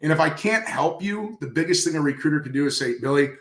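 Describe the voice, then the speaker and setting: A man's voice: fairly high pitch, lively intonation, quick pace, a bit bright. Man giving emphatic advice, echoey room. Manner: emphatic, coaching, acts out example dialogue, repeats key phrases for stress. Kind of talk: conversational industry interview, giving advice. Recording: roomy, echoey sound.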